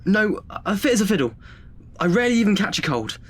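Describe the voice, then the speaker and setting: A man's voice: sits high, sing-song, quick pace, husky, full character voice. An actor playing a nervous, chatty twenty-year-old male student in an audio drama, hesitant and self-deprecating. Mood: cheerfully nervous.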